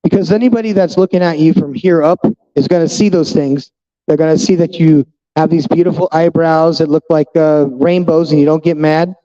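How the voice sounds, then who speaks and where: A man's voice: on the high side, fairly even intonation, brisk, dark tone, lo-fi sound. A fifty-year-old man talking bluntly and profanely to his viewers in a casual vlog monologue. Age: 50s. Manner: talks straight to viewers. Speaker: vlogger.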